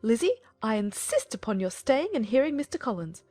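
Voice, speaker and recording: A woman's voice: fairly high pitch, sing-song, a little fast, dark tone, faint music behind. A woman voicing a bossy, fussing middle-aged mother in an audiobook drama, insistent and full of exclamation. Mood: insistent, scolding.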